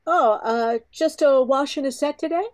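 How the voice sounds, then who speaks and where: A woman's voice: sits high, normal speed, darkish tone, natural voice. An older woman playing a friendly, talkative hairdresser in an amateur radio play. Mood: friendly, chatty.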